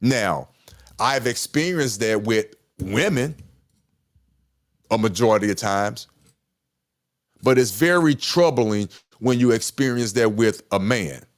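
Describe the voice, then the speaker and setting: A middle-aged man with a deep voice hosting a podcast, talking casually with passion and emphatic repetition. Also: lively intonation, slow, pauses often. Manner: passionate, emphatic, repeats himself. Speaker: podcast host. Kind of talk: casual monologue.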